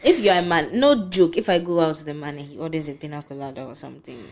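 A woman's voice: low voice, quick pace, darkish tone. A young woman co-hosting a casual podcast chat, blunt and emphatic in her opinions. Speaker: podcast co-host.

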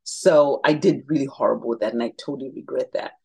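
A middle-aged woman from Zimbabwe, chatting as an interview guest, telling lively stories and laughing often. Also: very deep voice, fast, gravelly, husky. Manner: animated storyteller, laughs often. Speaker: guest, makeup brand founder. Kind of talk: casual interview chat.

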